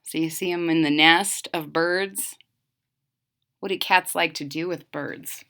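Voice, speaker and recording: A woman's voice: deep voice, steady tone, unhurried, pushed and bright. A woman doing an interactive children's read-aloud, speaking directly to young listeners with questions and asides. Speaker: storytime reader.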